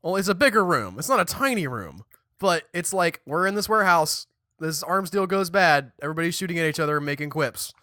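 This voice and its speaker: Podcast co-host, young man, bright tense tone, dry close mic. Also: fairly high pitch, fairly quick, no echo. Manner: chatty, animated, restarts and stumbles mid-sentence. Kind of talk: casual chat.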